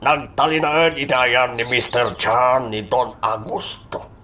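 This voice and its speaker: A man with an Ilocano Filipino accent acting a guarded, fatherly crime boss in a radio drama, with halting, drawn-out delivery. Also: mid-range voice, pushed and bright, slight background noise. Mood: commanding.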